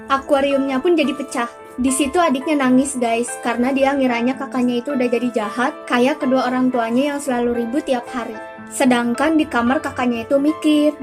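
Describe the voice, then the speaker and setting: A young woman narrates short spooky story recaps in a casual, chatty way, speaking straight to viewers and stretching some words for emphasis. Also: high voice. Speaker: storyteller and channel host. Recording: voice-over with faint music underneath. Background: faint background music.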